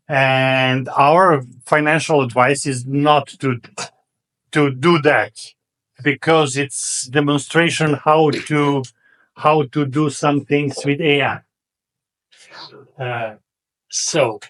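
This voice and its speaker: A man hosting a meetup, opening haltingly with many fillers. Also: a little high, a bit bright, poor audio. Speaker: meetup host.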